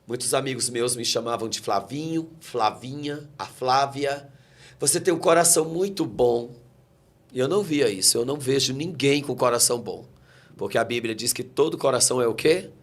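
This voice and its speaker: A man's voice: medium pitch, moderate pace. Fervent man preaching his testimony. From Brazil. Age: 40s. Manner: fervent, preacherly, quotes scripture. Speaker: guest pastor. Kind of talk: emotional testimony in a talk interview.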